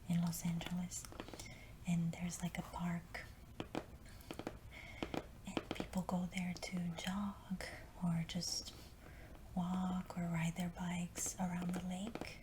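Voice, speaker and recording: Slow, flat whispering woman. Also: on the low side, background noise. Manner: soft, slow whispering with frequent ums. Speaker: ASMR creator. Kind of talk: whispered ASMR storytelling. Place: Los Angeles.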